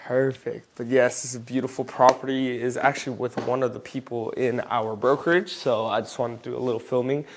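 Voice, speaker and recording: A man's voice: mid-range voice, level tone, a little fast, hardly any pauses, background noise. Man, chatty real estate vlogger, upbeat. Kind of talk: casual on-location vlog. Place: house being shown, indoors.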